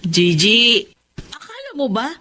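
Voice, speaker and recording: A woman's voice: fairly high pitch, huge pitch swings, takes it slowly, sharp tone, a little hiss or hum, heavily acted. A woman playing a blunt, protective young friend in a radio drama. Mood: exasperated scolding.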